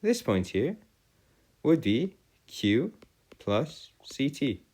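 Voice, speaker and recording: A man tutoring a math and physics lesson in formal, old-fashioned wording, often halting and correcting himself. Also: medium pitch, sing-song, a little mellow, breathy edge.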